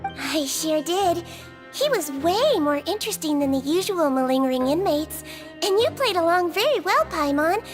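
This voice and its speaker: Tiny creature nurse for a game: extremely high-pitched, gentle, unflappable, cheerfully practical, music underneath. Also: female voice, expressive, normal speed. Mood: playful, thoughtful. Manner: gentle, patient, playfully matter-of-fact. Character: small cheerful nonhuman Melusine head nurse, kind and unfazed. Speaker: head nurse. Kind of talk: English video game voice acting.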